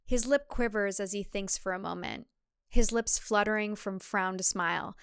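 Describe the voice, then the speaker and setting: A woman's voice: medium pitch, normal speed, a bit bright, clean tone, dry recording. A woman reading scripted fiction as an audiobook narrator, recorded on a close mic.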